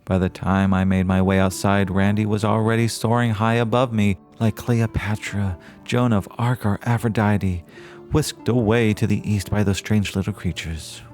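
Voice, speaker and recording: A man playing an excitable, earnest small-town radio reporter with a florid, theatrical way of narrating. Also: lowish voice, moderate pace, soft background music, lightly acted. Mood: earnest wonder. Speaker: host and narrator of an audio drama. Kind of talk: old-time radio style dramatic narration.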